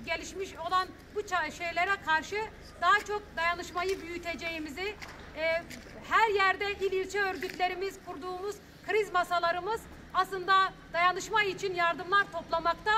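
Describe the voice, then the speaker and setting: A woman in her thirties giving an earnest press statement outdoors in front of rubble, with halting restarts. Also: very high-pitched, steady tone, pushed and bright, slight background noise.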